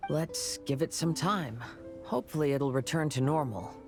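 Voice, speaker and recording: A man playing a gentle, polite young wanderer in a video game, soft and earnest. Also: a little high, animated, moderate pace, over music. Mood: calm. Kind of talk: video game cutscene acting.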